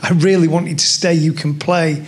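A man's voice: on the high side, little pitch movement, moderate pace. A male journalist putting questions to someone at a press conference.

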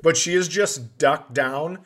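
A middle-aged man hosting a comedy podcast, telling stories casually with swearing and animated outbursts. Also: fairly high pitch, slow. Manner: profane, animated when acting out his outbursts.

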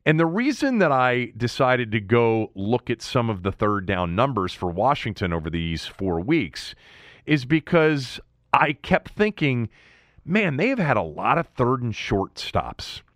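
A man's voice: medium pitch, sing-song, unhurried, a bit bright, no room sound, studio quality. A man hosting a sports talk show, explaining his analysis in an emphatic, conversational monologue, thinking aloud as he goes.

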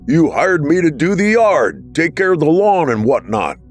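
A man's voice: on the high side, big pitch swings, normal speed, faint music behind, voice pushed up. A man doing a weary, pleading handyman. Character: tired, desperate grown man, a handyman.

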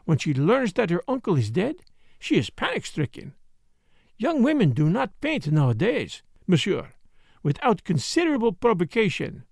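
A man's voice: high-pitched, huge pitch swings, creaky, a little mellow, no echo, voice pushed up, exaggerated voice. A man voicing a shrewd, dapper foreign detective who speaks courteously and precisely, with dry formality, in an audiobook mystery. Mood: dry, explanatory. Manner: formal, precise, measured phrasing with French interjections.

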